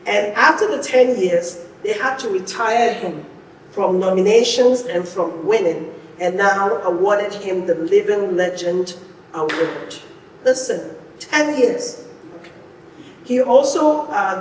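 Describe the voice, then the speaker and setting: A woman hosting a podcast, introducing and interviewing with an emphatic delivery that repeats phrases for effect. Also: medium pitch. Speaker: podcast host. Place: indoor podcast studio. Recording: echoey, reverberant room, distant mic, faint noise.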